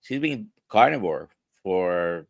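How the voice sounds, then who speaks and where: A man with a Spanish accent, a native Spanish speaker, hosting a casual live-stream interview as a doctor, talking haltingly with many "uh" fillers. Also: low voice, big pitch swings, vocal fry, dry recording, clean studio sound. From grew up speaking Spanish, now near Orlando. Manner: halting, many "uh" fillers, reads viewer questions. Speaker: host, physician.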